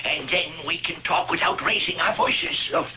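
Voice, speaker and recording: A man's voice: a little high, lively intonation, normal speed, faint music behind, natural voice. Man playing a flustered older Roman, muffled radio. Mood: hushed, conspiratorial. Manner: flustered, stammering, conspiratorial. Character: excitable, muddled, kindly older male Roman friend. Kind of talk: 1970s radio drama acting. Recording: muffled lo-fi radio with clear noise.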